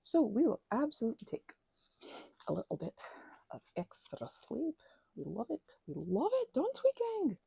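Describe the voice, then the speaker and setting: A woman chats softly in a playful put-on Scottish accent, sliding between a low murmur and breathy whispers. Her voice is mellow and dark, with a gravelly edge of vocal fry. Her tone swings up and down as she rambles, teasing herself along the way. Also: huge pitch swings, normal speed, no room sound. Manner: drifts between soft talk and whispers, chatty and self-deprecating. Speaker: ASMR crafter. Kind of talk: rambling soft-spoken ASMR craft chat.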